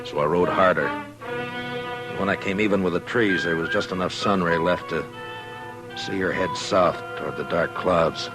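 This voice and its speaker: An actor playing a steady, grave frontier marshal who speaks in a measured, understated way in a 1950s radio western. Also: male voice, deep voice. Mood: grim narration. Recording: 1950s radio drama recording with music.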